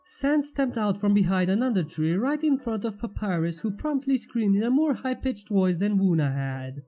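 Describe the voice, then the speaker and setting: A woman's voice: medium pitch, big pitch swings, moderate pace, warm tone, dull audio, faint music behind. A woman narrating fan fiction as a channel host, reading scripted prose expressively.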